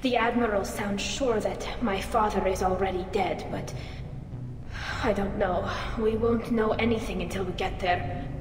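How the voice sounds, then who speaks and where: A woman's voice: mid-range voice, fairly even intonation, measured pace, lightly acted. A woman voicing an earnest, anxious young alien who is loyal and defiant, with emotional delivery in a video game. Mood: worried. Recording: echoey hall, crowd and noise behind.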